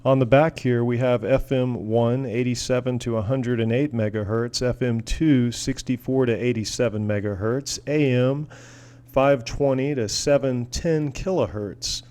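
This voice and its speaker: A man's voice: mid-range voice, fairly even intonation, unhurried, mellow. An American man chatting casually as a hobbyist vlogger, rambling along in a folksy, enthusiastic manner. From United States. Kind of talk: casual product chat.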